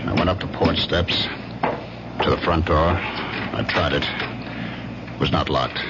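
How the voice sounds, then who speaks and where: An actor playing a despondent, jealous husband who narrates his own story deliberately in an old-time radio drama. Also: extremely low pitch, very noisy. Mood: brooding, tense. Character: despondent, jealous, brooding husband, a former electronics executive. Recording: muffled radio recording with loud music behind.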